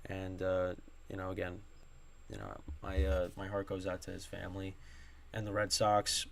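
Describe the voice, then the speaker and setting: A man's voice: low voice, monotone, normal speed, vocal fry. A young man hosting a sports podcast solo, rambling with many fillers and restarts, his voice strained from screaming at a game. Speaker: podcast host.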